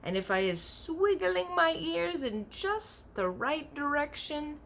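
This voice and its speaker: A woman's voice: high-pitched, big pitch swings, slow pace, creaky, a bit bright, slight background noise, voice pushed up, full character voice. A woman voicing a kindly, big-eared storybook giant who is earnest, proud and easily offended, talking in folksy broken grammar with invented words. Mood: wonder, earnest. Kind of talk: animated children's storybook character voice.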